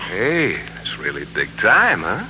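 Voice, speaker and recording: An actor playing an easygoing, affectionate working husband in 1940s radio drama style. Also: male voice, on the low side, wildly swooping pitch, takes it slowly, pushed and bright, soft background music, heavily acted. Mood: cheerful, affectionate. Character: easygoing, affectionate husband who works with boats. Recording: noisy, humming 1940s radio recording.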